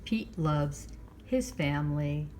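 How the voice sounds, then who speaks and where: A woman hosting storytime, reading a picture book aloud to young children with measured, expressive phrasing. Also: low voice, slight background noise. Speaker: library storytime host.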